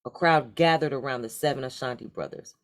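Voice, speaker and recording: A woman reading a children's story aloud in an expressive, deliberate storytelling manner. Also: rumbling low. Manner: animated, deliberate read-aloud delivery. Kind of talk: expressive scripted storybook narration.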